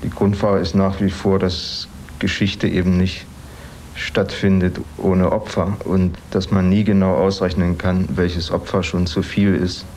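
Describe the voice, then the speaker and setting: Very deep, flat male expert, noisy setting. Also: measured pace. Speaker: interviewed expert commentator. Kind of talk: spontaneous explanatory interview speech. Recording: clear ambient background noise.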